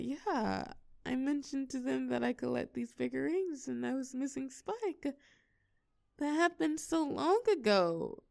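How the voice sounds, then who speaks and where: A woman's voice: high-pitched, vocal fry, dry recording, clean studio sound, heavily acted. A woman playing a shy, sweet, geeky young woman in an intimate romantic audio roleplay, speaking hesitantly and earnestly. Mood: excited surprise, then touched, grateful.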